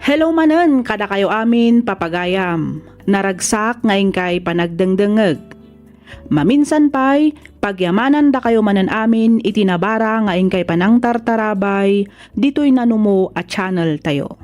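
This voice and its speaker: Mellow woman hosting radio drama over music. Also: mid-range voice, expressive, a little slow. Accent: northern Philippine. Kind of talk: scripted radio introduction, addressing listeners.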